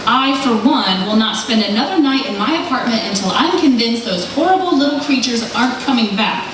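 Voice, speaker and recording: A woman's voice: a little high, lively intonation, a little fast, crowd noise, natural voice. A woman playing a stubborn, sarcastic newspaper reporter in an old-time radio drama, argumentative and emphatic. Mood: stubborn, indignant. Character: sharp-tongued, stubborn female newspaper reporter. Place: live stage recreation in a large hall. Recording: echoey hall from far off, noisy.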